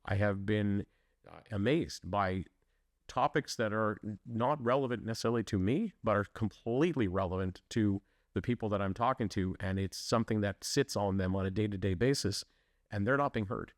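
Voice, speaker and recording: A man's voice: on the low side, normal speed, no echo, clean studio sound. A man running for local council, answering interview questions earnestly, with frequent fillers and restarts. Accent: Canadian English. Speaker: municipal election candidate.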